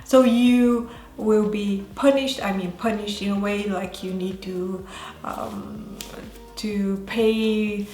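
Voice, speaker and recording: A woman with a Bulgarian accent talking casually as a vlogger, in a halting way that often pauses mid-sentence. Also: medium pitch, fairly even intonation, dark tone. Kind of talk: casual talk to camera. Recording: roomy echo, faint music behind.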